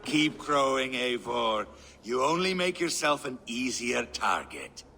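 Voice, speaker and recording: A man's voice: medium pitch, big pitch swings, measured pace, music in the background. An actor playing an insolent, crude male warrior who taunts and swears at his rival. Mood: taunting. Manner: mocking, defiant, swearing. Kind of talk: video game cutscene acting.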